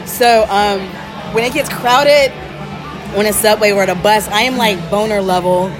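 A woman in her forties co-hosting a casual podcast chat, playful with deadpan jokes. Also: mid-range voice, normal speed. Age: 40s. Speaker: podcast co-host. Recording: loud crowd and music at a busy event.

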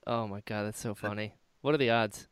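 A man's voice: lowish voice, fairly even intonation, a little fast, slight background noise. A man hosting a podcast, chatting casually and reacting in an enthusiastic, informal way. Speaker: podcast host, interviewer. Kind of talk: casual chat.

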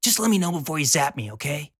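A woman voicing a flippant, sassy teenage girl with a husky voice. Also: sits low, very animated, fast, fairly bright tone, no room sound, studio quality, pitched above the performer's own voice, heavily acted. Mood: dismissive.

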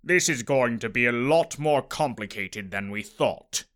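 A man's voice: mid-range voice, animated, a little slow, music in the background, voice pushed down, lightly acted. A man voicing a scheming, pompous professor villain in a storybook, with a dramatic, conspiratorial delivery. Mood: grave.

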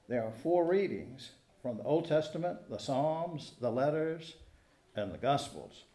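Male preacher, measured and explanatory, soft-edged mellow tone, slow delivery in an echoing church. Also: fairly high pitch. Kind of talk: sermon with scripture reading. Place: indoors in a church. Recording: roomy, echoing church sound.

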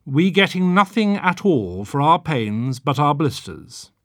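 A man playing a poor fisherman, meek, plaintive, pleading, lively pitch, audiobook character. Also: unhurried, no echo, clean studio sound. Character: poor, timid English fisherman pleading his case.

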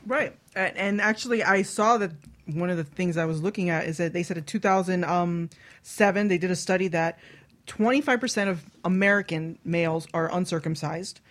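A woman's voice: lowish voice, moderate pace. A woman hosting a radio show, chatting casually and bawdily in a frank, teasing way. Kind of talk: bawdy casual panel chat.

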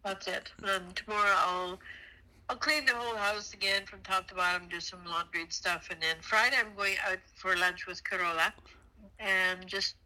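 Middle-aged woman, very bright forceful voice. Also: medium pitch, normal speed, rough recording. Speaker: host's mother, a guest. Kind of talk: casual chat.

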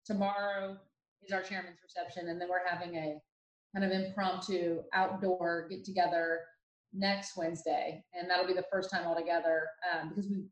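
Young woman guest, lowish voice, chatty storyteller, echoey room. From Ohio, USA. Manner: chatty and animated, many fillers and restarts. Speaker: podcast guest. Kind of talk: casual storytelling interview. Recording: roomy echo.

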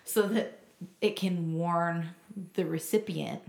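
A woman's voice: lowish voice, steady tone, slow pace, vocal fry, a little mellow. A woman co-hosting a podcast in casual conversation, recorded with a roomy echo. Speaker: podcast co-host. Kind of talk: casual chat. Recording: roomy, echoing sound.

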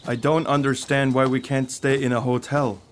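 A man's voice: medium pitch, little pitch movement, normal speed, a little hiss or hum, close to an everyday voice. A man playing a touchy, melodramatic rock musician in an amateur radio comedy play. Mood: indignant, defiant. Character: hot-headed, self-righteous male rock musician, melodramatic and touchy.

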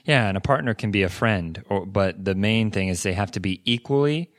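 A man's voice: on the low side, lively intonation, fairly quick, no echo, clean studio sound. A young man co-hosting a podcast in a casual chat, earnest and encouraging, often restarting his sentences. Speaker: podcast co-host.

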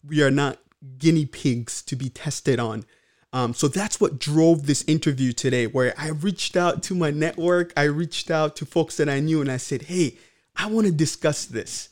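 A man's voice: on the high side, normal speed. A man from the Congo hosting a podcast, speaking earnestly with frequent fillers and restarts. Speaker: podcast host. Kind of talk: casual podcast monologue.

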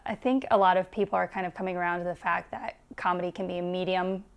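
A woman's voice: on the low side, fairly even intonation, fast talker, vocal fry. A young adult woman from the United States, a stand-up comedian guest chatting casually and laughing often at herself in an interview.

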